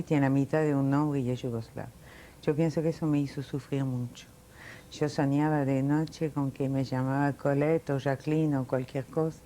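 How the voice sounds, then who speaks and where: A woman in her late sixties, born in Italy, telling her life story in an oral history interview, reflective and unhurried with frequent fillers. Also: a bass voice, warm tone, a little hiss or hum. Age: 60s.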